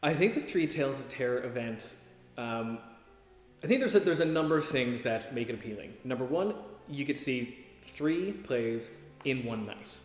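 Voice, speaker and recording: A man talks casually in an interview at a medium pitch. The hall adds strong echo, and faint music plays behind him. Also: animated, normal speed. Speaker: theatre performer. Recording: echoey hall.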